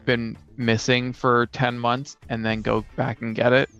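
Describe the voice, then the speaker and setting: A man with a creaky, frying voice at middling pitch chats casually and pauses to find his words. The recording is a little dull, and he sounds like a player talking over game ideas. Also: flat delivery, fairly bright tone. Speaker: podcast co-host and tabletop player. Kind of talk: casual chat about fantasy tabletop games. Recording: dull lo-fi sound with faint music. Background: faint guitar music.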